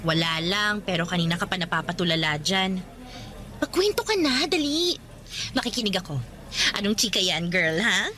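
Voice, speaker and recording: A woman's voice: mid-range voice, animated, normal speed, pushed and bright. A woman acting as a nosy, frank young best friend in a radio drama, with a chatty, prodding, colloquial way of talking. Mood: prodding, curious.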